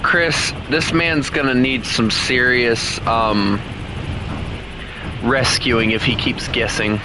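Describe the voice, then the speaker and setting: A man's voice: mid-range voice, steady tone, slow, noisy background. A young man streaming a game, chatting and teasing viewers in a joking way that flares into exasperation. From Southern United States. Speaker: gaming streamer. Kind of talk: casual live-stream chat with viewers.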